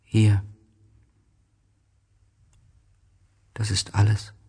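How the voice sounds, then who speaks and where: A man voicing a small, gentle, frightened boy prince in an audio play, speaking in a halting, earnest, plaintive way. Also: fairly low pitch, monotone, vocal fry, husky, heavily acted. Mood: resigned.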